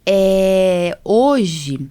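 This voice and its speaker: A woman's voice: mid-range voice, expressive, very slow, dark tone, clear, resonant tone, no room sound. Female podcast host, Brazilian accent, mid-range voice, conversational medical explainer who checks in often, recorded dry. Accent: Brazilian Portuguese. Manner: chatty, explanatory, frequent tag questions. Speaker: podcast host, allergist doctor.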